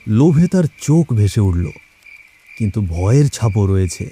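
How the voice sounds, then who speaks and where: A man's voice: on the low side, sing-song, normal speed, dark tone, a little hiss or hum. A man narrating a scripted horror story as a storyteller.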